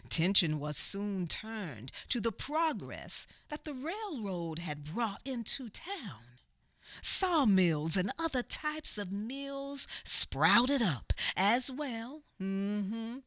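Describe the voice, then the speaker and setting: A grieving Southern woman storyteller in radio theatre. She speaks very slowly and haltingly, repeating phrases and murmuring asides. Her voice is bright, mid-pitched and full of feeling. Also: full character voice. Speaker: narrator.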